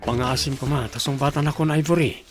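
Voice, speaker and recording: A man playing a firm, advising middle-aged father in a radio drama, talking in a measured, deliberate way. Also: medium pitch, steady tone, faint music behind, natural voice. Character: slow-spoken, firm, advising older father. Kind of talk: Ilocano radio drama acting.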